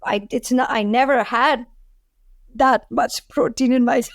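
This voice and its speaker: A woman's voice: fairly high pitch, steady tone, unhurried, no room sound. Indian-accented woman chatting in a dry room. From Delhi, India. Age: 40s. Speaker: podcast guest, food entrepreneur. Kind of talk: casual interview chat.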